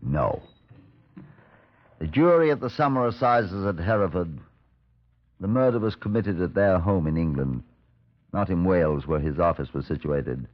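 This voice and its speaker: Casting: senior detective recounting cases, very low pitch, measured and stern, muffled vintage broadcast. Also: male voice, expressive. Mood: grave. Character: stern, measured police superintendent telling his case. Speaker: actor playing the narrating superintendent. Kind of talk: 1950s radio drama narration. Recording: dull vintage 1950s radio recording.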